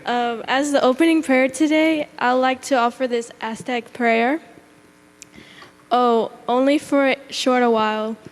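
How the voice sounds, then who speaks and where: A woman with a higher voice reads an opening prayer slowly and carefully, tripping on a word now and then. Her pitch stays flat and even. Long reverb from the church hall hangs behind her. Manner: slow, careful reading with small stumbles. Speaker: congregation member leading the opening prayer. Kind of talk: reading a prayer aloud. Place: church sanctuary.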